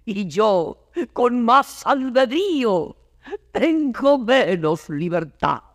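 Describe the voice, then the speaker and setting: Wretched brooding prisoner, theatrical verse lament, slow delivery, long reverb. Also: male voice, very high voice, sing-song, clean studio sound, exaggerated voice. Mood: aggrieved, impassioned. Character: chained, anguished, brooding captive man lamenting his fate. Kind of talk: classical verse stage acting.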